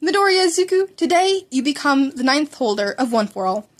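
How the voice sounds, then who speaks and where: Woman doing earnest older-brother-ish hero mentor guy from a story, formal, bit hesitant, high voice. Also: big pitch swings, a little fast. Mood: formal, approving.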